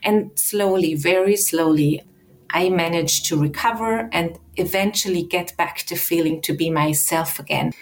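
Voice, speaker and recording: A woman from Austria in her thirties speaks in a lowish, measured voice. She tells her own story as a guest, pausing and restarting now and then as she goes. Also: slight background noise, rough recording. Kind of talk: personal account in an interview.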